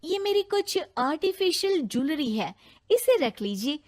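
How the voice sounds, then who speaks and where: A woman voicing a proud, sharp-tongued young bride in a cartoon, shrill and indignant. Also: big pitch swings, a little slow. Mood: clever.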